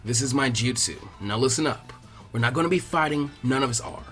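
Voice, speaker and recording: A man voicing a laid-back, clever teenage ninja boy, weary and matter-of-fact, in a story reading. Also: natural voice. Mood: calm, firm. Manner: flat, measured, lower-voiced, matter-of-fact. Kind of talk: fan fiction character reading. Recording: music behind the voice.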